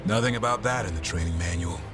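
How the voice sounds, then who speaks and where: An actor playing a restless, gruff but fond elf warrior who handles a griffin, in video game dialogue. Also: male voice, deep voice, fast, lightly acted. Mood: earnest. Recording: music under dialogue.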